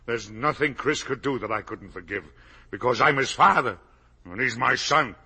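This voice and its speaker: Husky, volatile old father, pleading. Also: medium pitch, lively intonation, normal speed, pushed and bright, exaggerated voice. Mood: defiant, indignant. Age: older. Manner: self-justifying, emotionally volatile, pleading. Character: guilt-ridden old father and factory owner, defensive, pleading. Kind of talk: 1950s radio drama acting. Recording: vintage 1950 radio broadcast.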